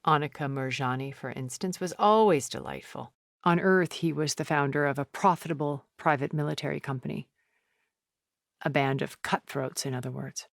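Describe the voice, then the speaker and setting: Refined, low-voiced, cunning woman. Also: gravelly, dry recording, studio quality. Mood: cool, wry. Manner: refined, measured, articulate, formal phrasing. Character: wealthy, cunning, ancient female art connoisseur, refined and wistful. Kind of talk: video game character dialogue.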